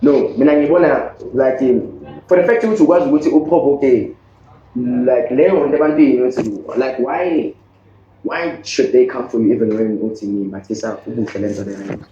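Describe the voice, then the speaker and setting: A man's voice: mid-range voice, brisk, warm tone, a little hiss or hum. A man with a South African accent hosting a podcast, talking earnestly with many restarts and bits of Zulu. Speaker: podcast host. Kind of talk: casual chat. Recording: dull echoey room sound.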